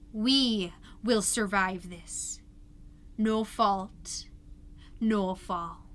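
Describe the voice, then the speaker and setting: A woman voicing an entranced mother in eerie revelation, speaking in slow, repetitive incantation for an audio drama. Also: mid-range voice, bright, forceful tone, slight background noise. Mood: eerie, beckoning. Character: entranced mom lost in eerie, zealous revelation. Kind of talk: scripted audio drama monologue.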